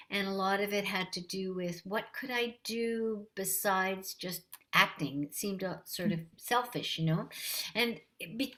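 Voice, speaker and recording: A woman with a light voice, talking warmly and unhurriedly as a guest in a casual interview. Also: mid-range voice. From Canada. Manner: warm, friendly, unhurried. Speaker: voice actress guest.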